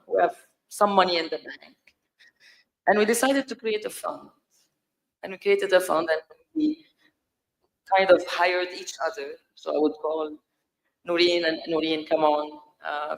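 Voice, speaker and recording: A middle-aged woman from Lebanon telling a personal story on a discussion panel, speaking in short, deliberate phrases. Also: low voice, vocal fry, rough recording.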